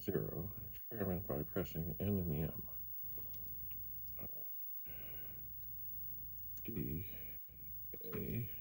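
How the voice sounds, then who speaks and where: A man giving unscripted hands-on demo commentary as a vlog host, musing haltingly and repeating phrases to himself. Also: deep voice, expressive, vocal fry, warm tone, slight background noise, poor audio. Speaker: retro computer vlogger, host.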